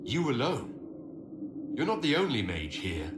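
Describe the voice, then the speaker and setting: Terse fantasy leader, echoey. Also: male voice, mid-range voice, big pitch swings, a little slow, background noise. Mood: skeptical, challenging. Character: skeptical commanding leader of a fantasy order. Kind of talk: video game cutscene acting. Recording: echoey game mix under loud music. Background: loud music.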